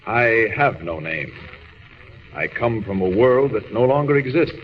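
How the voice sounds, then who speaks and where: An actor playing a noble, earnest alien superhero in a solemn, formal 1940s radio style. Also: male voice, on the low side, unhurried, lightly acted. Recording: muffled, noisy, reverberant vintage radio recording.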